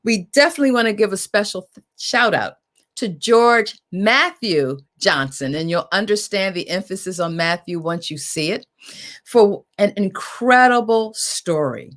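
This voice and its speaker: A woman moderator giving an informal, emphatic welcome address with deliberate phrasing. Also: mid-range voice, animated, a bit bright. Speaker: moderator, executive director.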